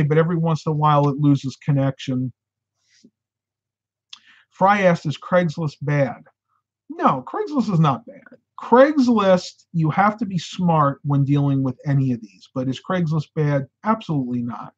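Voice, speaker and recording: A man in his thirties hosts a casual live stream from a room at home, giving advice with lively hand gestures. His voice sits a touch high and is starting to turn hoarse. The sound is slightly dull and lo-fi, as if recorded through a desk webcam. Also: unhurried. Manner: animated, gestures with hands while talking. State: getting hoarse.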